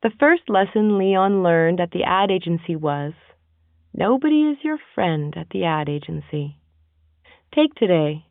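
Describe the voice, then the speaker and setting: A woman's voice: lowish voice, lively intonation, unhurried, dry recording. A woman reading a scripted narrative passage through a narrow phone-like codec.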